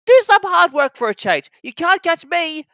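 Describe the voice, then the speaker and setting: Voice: very high voice, big pitch swings, normal speed, pushed and bright, no room sound, studio quality, voice pushed up, heavily acted. A storybook narrator voicing a cheeky, fussy, impatient young male little tank engine, with bossy, exclamatory whistling cries.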